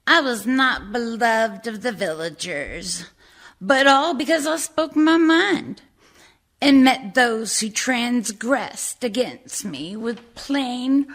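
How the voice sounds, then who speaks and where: A woman's voice: medium pitch, fairly bright tone, exaggerated voice. A woman acting an outspoken, unrepentant dead villager, declaiming a defiant verse monologue for radio. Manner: emphatic, declamatory.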